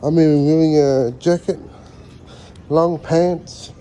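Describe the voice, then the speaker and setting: Slow, relaxed New man outdoors. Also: on the high side, a little hiss or hum, low-quality recording. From New Zealand. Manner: relaxed, rambling, with hesitant fillers. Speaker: vlogger. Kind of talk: casual walking tour vlog. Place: outdoors at a school grounds.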